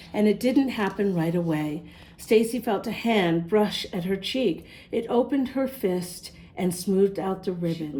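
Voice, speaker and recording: A woman's voice: medium pitch, dark tone. A woman reading a picture book aloud to young children, with asides to the little listeners. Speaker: children's storybook reader and host.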